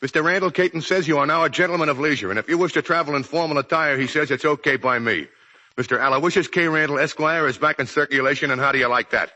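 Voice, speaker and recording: Fast, brash wisecracking serviceman. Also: a little high, sharp tone. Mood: jaunty, cocky. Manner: slangy, jaunty wisecracking. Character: brash, cocky American serviceman, wisecracking and eager. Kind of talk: 1940s radio drama acting.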